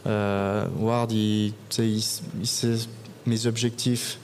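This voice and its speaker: A young man in his twenties, a guest hockey player, giving even, unhurried interview answers with frequent pauses at a live podcast event in a bar. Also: lowish voice, a little hiss or hum.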